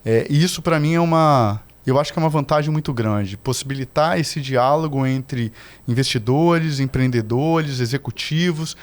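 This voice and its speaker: A middle-aged man from Salvador, Brazil, a podcast guest giving measured, explanatory answers about environmental management. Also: medium pitch. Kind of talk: formal interview answers.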